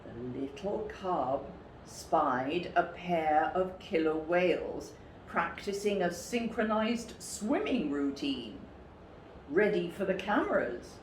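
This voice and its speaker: A woman reading a children's story expressively, with a dramatic, deliberate delivery. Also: on the low side, expressive. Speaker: storybook reader for a school story time. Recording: roomy, distant mic, faint background noise.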